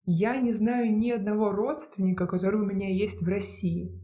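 Flat, mellow-voiced essay reader. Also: mid-range voice, moderate pace. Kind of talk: scripted narration.

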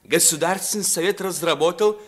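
A man's voice: high-pitched, fairly quick, fairly bright tone. A man in a 1950s radio play acting a pompous, mournfully official royal treasurer, declaiming with ceremony. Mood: solemn, mournful.

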